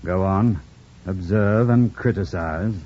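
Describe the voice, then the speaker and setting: Brooding gentleman near forty, vintage radio drama: low, brusque, imperious, formal, on a muffled old broadcast. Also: slow pace, slight background noise. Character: stern, masterful, abrupt master of the house, near forty.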